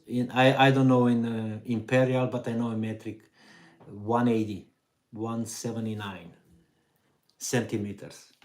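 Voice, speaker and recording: A middle-aged man from Hungary with a Hungarian accent, hosting a relaxed live Q&A for his vanlife channel and answering chat questions with many fillers. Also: mid-range voice. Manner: slow, relaxed, pauses with fillers. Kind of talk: live stream Q&A reading chat.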